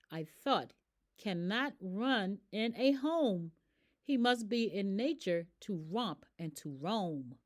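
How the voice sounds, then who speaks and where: A woman voicing an eager, easily exasperated little girl telling a rhyming picture book story in an animated, expressive way. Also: a little high, voice pushed up.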